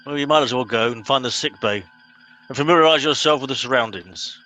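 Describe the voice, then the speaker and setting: A man's voice: mid-range voice, brisk, pushed and bright, faint music behind, natural voice. A man with an English accent playing a pompous, impatient middle-aged Home Guard captain in a comedy radio play. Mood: officious. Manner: officious, impatient.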